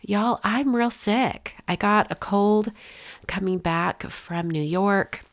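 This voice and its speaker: A woman's voice: medium pitch, slow, dry recording. A woman hosting a card-making channel, narrating a casual step-by-step voice-over while congested from a head cold. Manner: chatty, relaxed, explains step by step.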